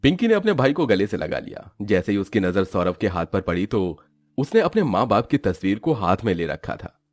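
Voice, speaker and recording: Deep-voiced male storybook narrator. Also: very animated, moderate pace, no echo. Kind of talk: scripted storybook narration for a cartoon.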